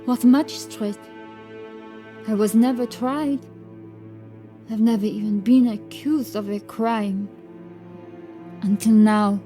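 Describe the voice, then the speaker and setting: A woman's voice: mid-range voice, fairly even intonation, slow, pauses often, mellow, faint music behind, lightly acted. Pleading, frightened medieval prioress, acted by a woman. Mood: confused, shaken. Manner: pleading, protesting her innocence. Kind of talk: dramatised horror audiobook acting.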